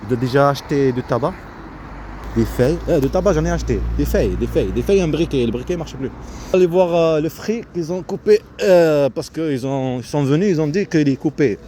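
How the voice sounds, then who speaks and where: A man giving a casual, rambling vlog commentary in a halting, repetitive way, often breaking off his own sentences. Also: a little high, expressive, mellow. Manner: halting, repetitive, self-interrupting. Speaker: vlogger. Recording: noisy outdoor recording with vehicle sounds.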